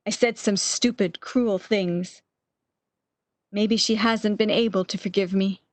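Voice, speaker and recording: A woman's voice: mid-range voice, fairly even intonation, slow pace, dry recording, natural voice. A woman acting in a radio play as an anxious, regretful, bedridden sick woman with a fretful, pleading manner.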